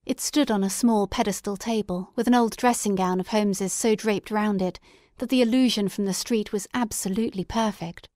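A woman narrates a literary audiobook. Her voice sits at a medium pitch and is recorded dry, with no room around it. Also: fairly even intonation, normal speed, a little mellow, no room sound.

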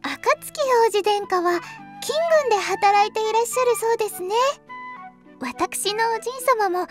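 Very high-pitched sweet girl empress. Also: a little slow, music in the background. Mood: earnest, playful. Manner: polite, earnest, girlish, excitable. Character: sweet, dreamy thirteen-year-old girl empress. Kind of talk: anime-style audio drama acting.

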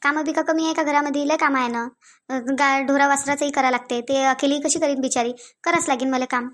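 A high, soft cartoon voice of a young village mother acted by a woman, with a Varhadi Marathi accent. She is gentle and coaxing. She sounds dutiful and puts herself last. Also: steady tone, a little fast. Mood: dutiful, anxious. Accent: Varhadi Marathi of Vidarbha. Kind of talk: family cartoon dialogue.

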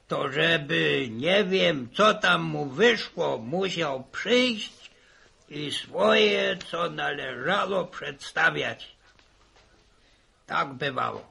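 A man acting a garrulous old village grandfather in a 1960s Polish radio serial, husky and creaky, fumbling and repeating his words. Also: a little high, expressive, extremely slow, pushed and bright, heavily acted. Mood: nostalgic, grumbling. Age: older.

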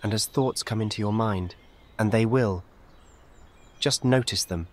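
A man guides a meditation as scripted narration, speaking calmly in measured, soothing, short and gentle instructions. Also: lowish voice.

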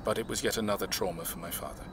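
A man acting in an audio drama, playing a reserved, measured man who recounts his family's past in a reflective way. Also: lowish voice, a bit bright, slight background noise, lightly acted.

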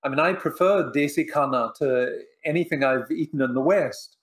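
An older man whose first language is Polish, a professor and author answering interview questions on a video call from his living room, measured and reflective with many pauses. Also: a little high. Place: living room in front of bookshelves. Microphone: video call webcam.

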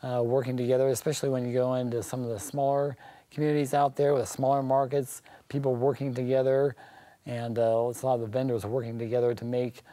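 A man's voice: medium pitch, little pitch movement, fast, warm tone, no echo. A middle-aged American man explaining things as an expert guest in a studio panel interview. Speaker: agriculture department guest. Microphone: lapel mic.

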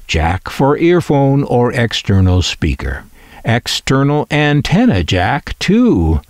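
A man presenting and reading catalog copy aloud as scripted narration, in a deliberate, itemized way. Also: medium pitch, no room sound, clean studio sound.

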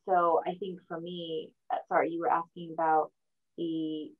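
A woman's voice: deep voice, little pitch movement, a little slow, narrow, tinny audio. A young adult woman, Mandarin her first language, talking thoughtfully in a casual interview and laughing often. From born in China, raised in the United States. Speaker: guest.

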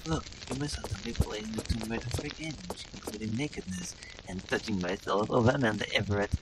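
A man's voice: fairly low pitch, fairly quick, noisy background, lightly acted. A man voicing a lewd, brazen woman adventurer in broken English, improvising over gameplay. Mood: frantic. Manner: breathy, leering, broken English.

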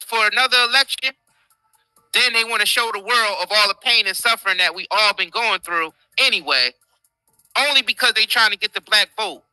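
A man's voice: high-pitched, bright, forceful tone, clean tone, no echo, poor audio. An American man hosting an impassioned livestream commentary in emphatic, repetitive, informal slang, close on the mic. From United States. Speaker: show host. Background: faint background music.

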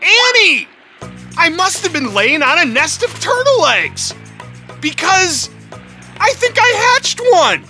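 A friendly cartoon dog, high and forceful, with pitch leaping all over. The delivery is eager and exclamatory, over faint music. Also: wildly swooping pitch, slow pace, heavily acted. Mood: startled, earnest. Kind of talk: children's cartoon acting. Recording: background music.

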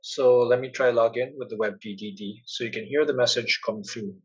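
A man giving a plain, instructional step-by-step narration as a software tutorial presenter. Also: mid-range voice, fairly even intonation, a little fast, breathy edge, lo-fi sound.

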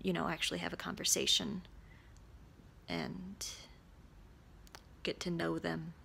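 A young woman with a creaky voice, chatting casually and candidly to camera in a rambling vlog, recorded at arm's length in a small room. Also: fairly low pitch, slight background noise. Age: young adult. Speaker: vlogger. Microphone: phone or camera at arm's length.

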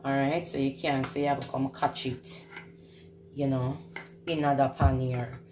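A woman's voice: very deep voice, little pitch movement, a little slow, a little mellow, echoey room. A woman hosting a casual home cooking demonstration, instructing and repeating phrases for emphasis. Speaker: home cook hosting a recipe video. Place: indoors in a home kitchen. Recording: clearly noisy home recording.

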